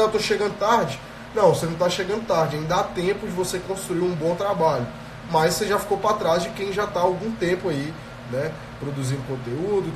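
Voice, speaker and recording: A man's voice: sits high, recorded from a distance. Brazilian guy with a cold doing a phone live, earphone mic, roomy echo, noise behind. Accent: Brazilian Portuguese. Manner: energetic, addresses viewers directly, frequent "tá?" checks. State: has a cold. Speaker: productivity coach hosting a live stream. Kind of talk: live stream talk to viewers. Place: small indoor room. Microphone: earphone headset mic on a phone.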